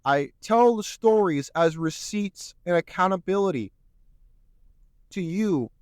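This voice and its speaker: A man talks alone into the mic in an earnest, sermon-like way, his slightly high voice starting to wear out and go hoarse. The sound is dry and close, with no room around it. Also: unhurried, a little mellow, no echo. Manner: earnest, preachy, repeats "my friends". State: losing his voice. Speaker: podcast host. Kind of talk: solo podcast monologue.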